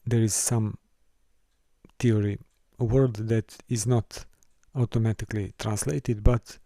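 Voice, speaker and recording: Guy doing a screen tutorial, mellow voice, dry close sound, stops and restarts a bit. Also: on the low side, fairly even intonation, dry recording. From Serbia. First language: Serbian. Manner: careful, halting delivery with small restarts and non-native phrasing. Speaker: course instructor. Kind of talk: screen-recorded software tutorial.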